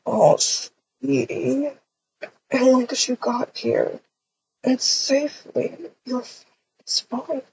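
A woman voicing a possessive, menacing male yandere captor in an amateur roleplay, talking softly and slowly in a husky whisper. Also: sits high, steady tone, noisy background, full character voice. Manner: soft, slow, breathy whispering. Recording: dull lo-fi recording.

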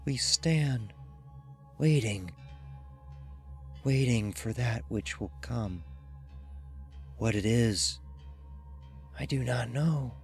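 A man's voice: mid-range voice, faint music behind. A man voicing a dying, dread-filled man in a horror audio drama, faltering and drawing out his words as if in a trance. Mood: fearful, faltering. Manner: slow, faltering, drawn-out.

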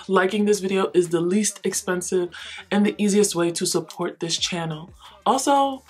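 A woman's voice: mid-range voice, measured pace, husky. A woman in her thirties chatting playfully through a wig tutorial in her bedroom. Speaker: beauty vlogger. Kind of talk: casual wig tutorial and chat. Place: bedroom with shelves, indoors.

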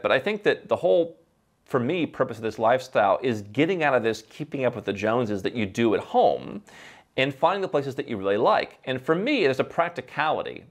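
A man, hosting an opinionated talking-head video, animated and full of rhetorical questions. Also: medium pitch. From Ohio, USA. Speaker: host. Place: dim indoor library set.

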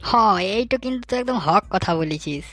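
A man's voice: high voice, lively intonation, fast, fairly bright tone. A man voicing a gullible, anxious young man in a comic cartoon, pleading and eager, with a rural West Bengal accent.

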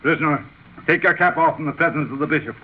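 A tinny, hissy old radio recording. A man plays an officious policeman, high-pitched and barking, brusque and bullying. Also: fast, natural voice. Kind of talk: 1930s radio acting.

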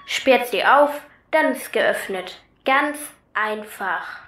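A woman's voice: fairly high pitch, expressive, slow, a bit bright. A woman playing a matter-of-fact, resigned captive girl from a child gang in a radio play, dry and blunt. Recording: roomy echo.

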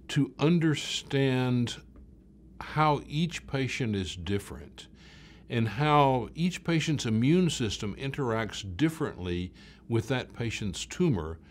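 An older man, around his late sixties, speaking as a professor explaining research in a measured way, stressing key words. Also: mid-range voice. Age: older, about late 60s. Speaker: medical researcher, professor of cancer biology. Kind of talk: explanatory talk to camera about research.

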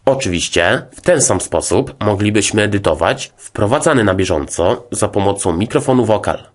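A man narrating a scripted tutorial as a music software instructor, in a formal, methodical, explanatory way. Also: on the low side, lively intonation, moderate pace.

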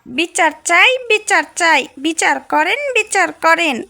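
A woman's voice: very high-pitched, very animated, slow, creaky, sharp tone, full character voice. A woman voicing an indignant villager chanting in a cartoon. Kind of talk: cartoon dialogue.

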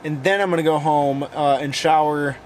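A worn-out young man whose voice has gone hoarse, talking a bit high for a guy. He rambles casually, full of "um"s and restarts, like he is wrapping up a long day on camera. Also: steady tone, normal speed, a little mellow, slight background noise. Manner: rambling, filler-heavy self-talk. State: hoarse, losing voice; very tired. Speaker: vlogger. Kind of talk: casual vlog talk.

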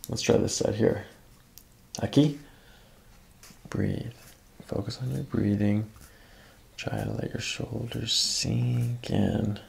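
A man's voice: fairly low pitch, huge pitch swings, slow pace, gravelly, pauses often, a little mellow. A middle-aged man working as a chiropractor, giving soothing, instructive directions to a patient during a hands-on exam. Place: small carpeted treatment office.

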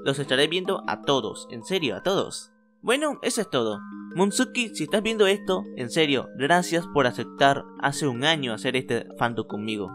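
A man's voice: on the high side, normal speed, over music. A man, casually talking to his viewers as a fan dubber. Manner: relaxed, friendly address to viewers. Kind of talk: casual video outro.

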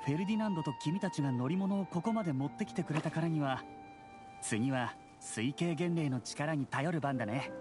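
Guy voicing a snooty young anime engineer, highish voice that swings around, articulate, bit of music behind. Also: fairly quick, natural voice. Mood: polite, composed. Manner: articulate, condescending. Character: fussy, sarcastic young bespectacled engineer brother. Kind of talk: anime game voice acting.

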